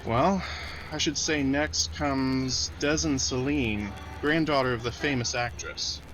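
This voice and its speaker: An actor playing a grim government intelligence officer, stern and deliberate, in old-time radio drama style. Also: male voice, medium pitch, fairly even intonation, music in the background, natural voice, poor audio. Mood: businesslike.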